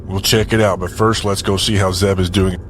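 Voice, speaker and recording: An actor playing a brisk, commanding man who runs a territory in an audio drama. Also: low-pitched, flat delivery, slight background noise, lightly acted. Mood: brisk authority.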